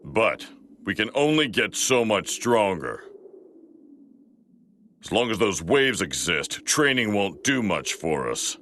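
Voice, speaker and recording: A man voicing a gruff, curt fighter in a video game, quick to object. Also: on the low side, big pitch swings, sharp tone, slight background noise, heavily acted. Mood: grim. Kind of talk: animated video game voice acting.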